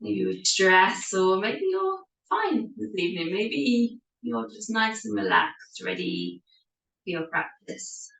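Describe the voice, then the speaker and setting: A woman leads a yoga class from some distance to the microphone, her voice echoing in the room. She speaks very slowly and gently, leaving pauses as she guides the meditation. Her delivery is soothing and unhurried. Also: mid-range voice, sing-song, creaky. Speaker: yoga teacher.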